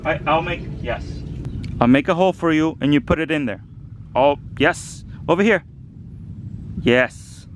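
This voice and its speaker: A man in his thirties from El Salvador, chatting through a casual garden vlog outdoors and gently coaxing a toddler to help. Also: on the high side, measured pace, long pauses, a little hiss or hum. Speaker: home gardener vlogger.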